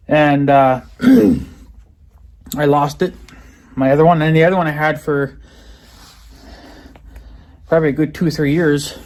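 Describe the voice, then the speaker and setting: A man casually rambling through a product unboxing vlog, often doubling back and correcting himself in a hesitant, unscripted way. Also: fairly high pitch. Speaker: vlogger showing new purchases.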